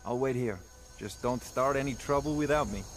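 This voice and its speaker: Casting: male actor as businesslike mercenary sidekick for a fantasy game, mid-pitched, light music underneath. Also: moderate pace, natural voice. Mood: calm, wry. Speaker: video game companion character. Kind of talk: video game character acting.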